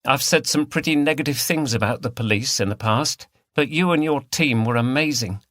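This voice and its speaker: Man voicing a feisty, high-pitched woman activist. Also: normal speed, fairly bright tone, no room sound, lightly acted. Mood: friendly, grateful. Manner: frank, earnest. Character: earnest, feisty, outspoken female countryside activist. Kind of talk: audiobook character dialogue.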